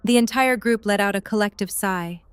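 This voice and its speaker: A woman's voice: medium pitch, moderate pace, clean tone, dry recording. A woman reading scripted story narration for an audio drama.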